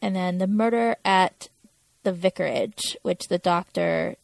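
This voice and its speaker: A woman's voice: on the low side, unhurried, vocal fry, dry recording. A woman talking casually as a podcast co-host, chatting through a television episode. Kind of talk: casual chat about a TV episode.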